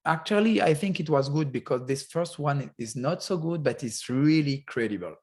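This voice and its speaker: Halting man chatting. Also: on the high side, expressive, darkish tone. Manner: halting, restarts often, searches for words. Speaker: podcast co-host. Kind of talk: casual chat.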